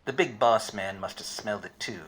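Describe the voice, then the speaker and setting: A man playing a wisecracking, obtuse private detective in a deadpan 1940s radio noir parody. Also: on the low side, normal speed, background noise, natural voice. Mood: wry, deadpan. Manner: deadpan, hardboiled.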